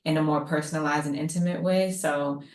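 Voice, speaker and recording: A woman's voice: deep voice, steady tone, brisk, reverberant. A woman in her thirties from Maryland, a psychologist guest talking casually on a video call, chatty and saying "like" a lot. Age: 30s. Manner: warm, chatty, uses "like" often. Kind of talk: casual panel talk.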